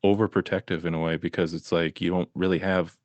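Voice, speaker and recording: A man hosting a casual podcast, asking relaxed questions padded with fillers. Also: deep voice, fast talker, no echo, lo-fi sound. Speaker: podcast host.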